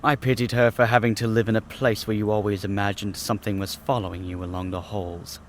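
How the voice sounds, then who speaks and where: A man's voice: fairly low pitch, fairly quick, lightly acted. Male actor for restless young man in a radio mystery, blunt and on edge, slightly noisy track. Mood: nostalgic, uneasy. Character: restless young heir, an aircraft designer, uneasy yet outspoken. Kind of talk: radio mystery drama acting. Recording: steady noise behind, vintage radio recreation.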